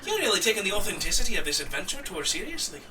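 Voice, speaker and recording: A man's voice: high voice, sing-song, very fast, bright, forceful tone, distant mic, a little hiss or hum, heavily acted. A man playing a chatty, eager, fussy wildlife expert in an audio drama. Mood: excited, amiable. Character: excitable, friendly, fussy doctor and wildlife expert.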